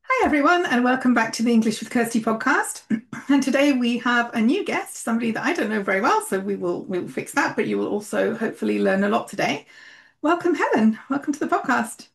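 A woman's voice: on the high side, expressive, a little fast, gravelly. A woman hosting a podcast, talking in a friendly, chatty way with loose phrasing. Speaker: podcast host, English coach. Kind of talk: podcast intro and casual interview.

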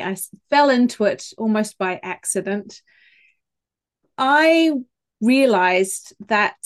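A woman with a New Zealand accent speaks in an easy mid-range voice, choosing her words with care. She talks as a guest in a relaxed podcast conversation. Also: medium pitch. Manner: thoughtful, measured, with small restarts. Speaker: communications coach, podcast guest. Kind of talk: conversational interview.

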